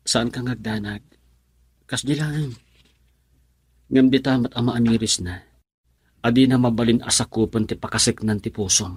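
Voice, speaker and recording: A man's voice: medium pitch, fairly even intonation, normal speed, pauses often, lightly acted. An actor in an Ilocano radio drama playing an earnest, reassuring young man running away with his sweetheart.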